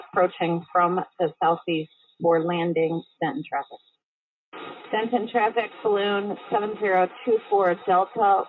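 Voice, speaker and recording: Tinny radio-call woman balloon pilot, lowish voice. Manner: procedural, clipped callouts. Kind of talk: aviation radio phraseology. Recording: tinny, phone-like band-limited radio audio.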